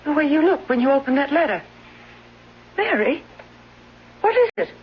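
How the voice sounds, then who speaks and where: Casting: sickly, anxious wife for vintage radio drama. High, very expressive, unhurried, pleading. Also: female voice. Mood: alarmed, insistent. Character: bedridden wife with a heart condition, affectionate, anxious. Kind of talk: old-time radio drama acting. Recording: muffled, noisy old radio broadcast.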